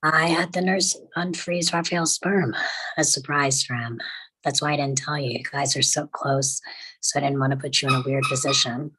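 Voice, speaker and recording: A woman playing a determined, defensive patient with a husky voice in a script table read. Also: deep voice, fairly even intonation, normal speed, sharp tone, exaggerated voice, low-quality recording. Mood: confiding.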